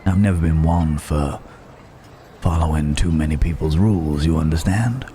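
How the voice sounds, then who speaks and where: A man playing a roguish, wry but protective Wild West cowboy in an ASMR roleplay, with a halting, teasing drawl. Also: a bass voice, mellow, slight background noise. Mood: roguish, reassuring. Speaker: audio roleplay voice actor. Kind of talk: ASMR roleplay acting, addressed to the listener.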